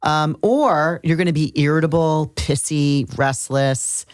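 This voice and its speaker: A woman's voice: low voice, steady tone, unhurried, no room sound, studio quality. A woman co-hosting an astrology podcast, chatting casually and emphatically in short bursts. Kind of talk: casual conversational astrology talk.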